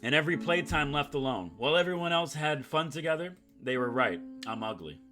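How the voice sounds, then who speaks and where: A man's voice: fairly high pitch, lively intonation, fairly quick. A man doing let's play commentary, reading game text aloud and breaking in with sarcastic, self-mocking asides. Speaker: gaming YouTuber, host of a playthrough. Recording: guitar music behind the voice. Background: acoustic guitar game music.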